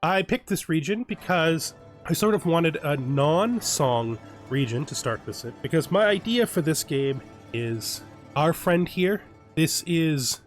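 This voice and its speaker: A man hosts a strategy game video in casual commentary, thinking aloud and stumbling over and correcting his own words. His voice is somewhat high for a man. Soft music runs quietly underneath. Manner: thinking aloud, often restarting and correcting himself. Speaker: let's play host.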